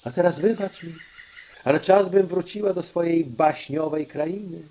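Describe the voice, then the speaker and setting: A man voicing a composed fairy queen in an audiobook, with a measured, deliberate and theatrical delivery. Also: on the high side, mellow, slight background noise, voice pushed up, natural voice. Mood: instructing. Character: fairy queen granting royal children's dreams, female, composed. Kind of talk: audiobook storytelling.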